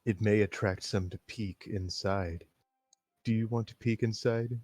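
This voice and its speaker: A man reading the lines of a shy, intense teenage girl fond of knives, soft and a little hesitant. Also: fairly low pitch, steady tone, unhurried, no room sound, clean studio sound, faint music behind. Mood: dreamy. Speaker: reader in a staged reading. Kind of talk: casual read-aloud of game dialogue.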